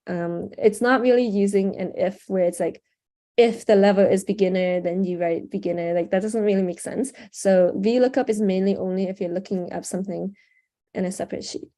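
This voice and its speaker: A young woman runs a live video-call tutorial through a headset, talking in a loose, casual way full of ums and likes. Her voice sits on the mellow, darker side, and a sore throat keeps her clearing it. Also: medium pitch, a little fast. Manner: casual, chatty, frequent "um" and "like". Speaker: workshop instructor. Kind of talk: live online workshop screen-share tutorial.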